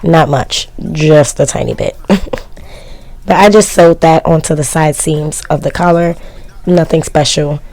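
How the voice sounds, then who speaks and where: A woman narrating her sewing project in a casual, chatty voice-over, her voice worn and cracking from yelling, with self-mocking, shrugging asides. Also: low-pitched, a little slow, no room sound. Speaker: sewing vlogger.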